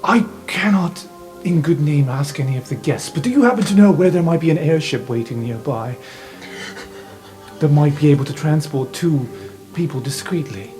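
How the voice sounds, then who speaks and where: A man's voice: fairly high pitch, moderate pace, darkish tone, natural voice. A man playing a discreet, dutiful male messenger and assistant in an improvised tabletop roleplaying game. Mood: discreet, formal. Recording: music playing behind voice.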